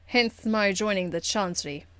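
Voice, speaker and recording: A woman's voice: mid-range voice, measured pace, a bit bright, dry recording, lightly acted. A woman voicing a stern, proper female warrior in a video game, speaking formally with a dry, weary exasperation. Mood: solemn. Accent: foreign, European-tinged. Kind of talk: video game character acting.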